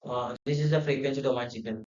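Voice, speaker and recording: A man's voice: mid-range voice, fairly even intonation, fast talker, a little mellow. A man giving a hesitant, unscripted explanation, with many fillers and restarts. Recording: roomy, dull lo-fi sound.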